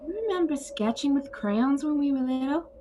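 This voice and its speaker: An actress playing a gentle, conciliatory grown-up sister with a nostalgic streak in an audio play. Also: female voice, high voice, moderate pace, a little hiss or hum, close to an everyday voice.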